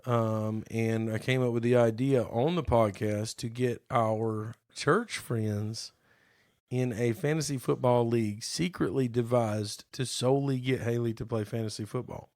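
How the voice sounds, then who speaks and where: A man chats on a podcast in a gravelly, slightly hoarse voice. He teases and jokes and reads aloud in a drawn-out way. The recording is dry and close. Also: mid-range voice, steady tone, darkish tone, dry recording. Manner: playful, teasing, drawn-out reading aloud. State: slightly hoarse from being sick. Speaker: podcast co-host. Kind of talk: casual chat.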